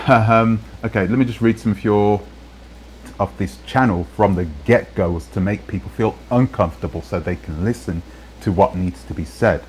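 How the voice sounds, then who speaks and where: Rambling low-voiced man with restarts. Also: moderate pace, slight background noise, low-quality recording. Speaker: channel host in played clips. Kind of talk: casual live-stream talk.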